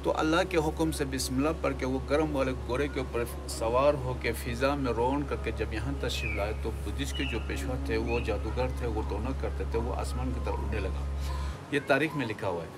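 Middle-aged male cleric, native Balti accent, explaining history in a mid-pitched voice, noisy outdoor recording. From Baltistan, northern Pakistan. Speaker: deputy imam. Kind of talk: explaining local history to camera. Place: open wooden mosque veranda, outdoors. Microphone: handheld camera nearby. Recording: noisy outdoor on-location audio.

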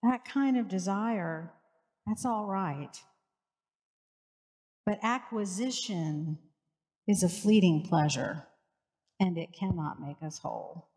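A woman in her forties, a pastor, preaching a sermon in a slow, measured way with rhythmic repetition, on a headset mic in a church sanctuary. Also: lowish voice, a little mellow. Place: church sanctuary with large lake-view windows. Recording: reverberant church hall.

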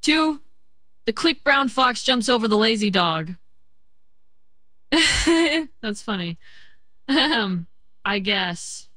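A woman's voice: a little high, lively intonation, drawn-out words, long pauses, sharp tone, no echo. A synthetic female voice reading a short text sample.

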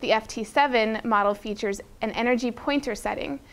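A woman's voice: on the high side, fairly quick, clear, resonant tone. A young woman presenting running shoes and gear for a retailer, reading a script in an upbeat way with small slips, on a lapel mic in a studio. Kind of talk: scripted product rundown. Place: studio with a plain grey backdrop.

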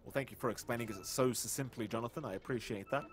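A man doing excitable play-by-play commentary on a video game match, teasing his co-caster and climbing in pitch at big plays. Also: fast talker, a little hiss or hum. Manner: excitable, teasing, rises sharply in big moments. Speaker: esports caster.

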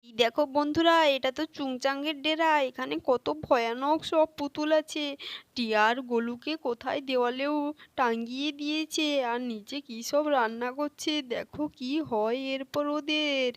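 High-voiced woman telling puppet stories. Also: moderate pace, dry recording. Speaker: storyteller. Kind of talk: narrating a children's puppet story.

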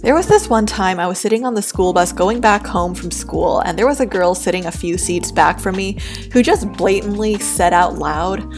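A young woman from New York, whose was Cantonese, talks in a soft and reflective way. Her voice sits at a mid pitch, recorded close as a voice-over, with clear music playing behind her. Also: moderate pace. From New York, USA. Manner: soft, thoughtful, candid. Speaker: art YouTuber. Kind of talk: reflective personal voice-over while drawing.

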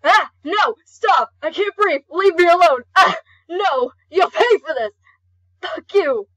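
A woman's voice: very high voice, animated, slow pace, a bit bright, pitched above the performer's own voice, full character voice, poor audio. A woman voicing a brooding, flustered, hot-tempered skeleton young man in an amateur comic dub, hesitant and stammering. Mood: panicked, furious.

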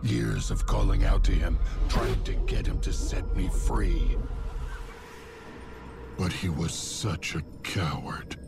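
Very deep, gravelly sadistic killer. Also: male voice, lively intonation, loud music behind the voice, exaggerated voice. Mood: bitter, menacing. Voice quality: gravelly, breathy whispers. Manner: slow, savoring, menacing delivery. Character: sadistic adult male serial killer clown, gloating, menacing. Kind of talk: dramatic horror monologue in a video game.

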